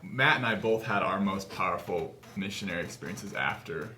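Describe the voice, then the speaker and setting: A man's voice: low-pitched, animated, moderate pace, sharp tone, a little hiss or hum. A man in his twenties chatting casually as a guest in an interview, seated in a meeting room. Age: 20s. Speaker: author and guest. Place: small office meeting room, seated at a table.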